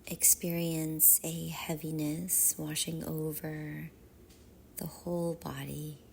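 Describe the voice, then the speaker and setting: A woman leading a guided meditation in a soft, half-whispered, soothing voice, with measured phrases and long pauses. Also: low voice, little pitch movement, creaky, a little hiss or hum.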